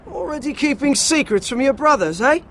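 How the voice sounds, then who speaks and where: An actor playing a nosy young brother-in-arms in a fantasy game, teasing and prying. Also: male voice, very high-pitched, lively intonation, fairly quick, a bit bright, slight background noise, full character voice. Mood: teasing suspicion. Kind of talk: dramatic fantasy game acting.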